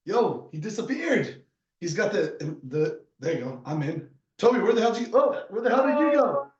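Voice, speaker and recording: A man from Canada hosting a casual podcast, talking fast and teasing his guests. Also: high-pitched, big pitch swings, echoey room, muffled recording. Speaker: podcast host. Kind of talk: casual chat.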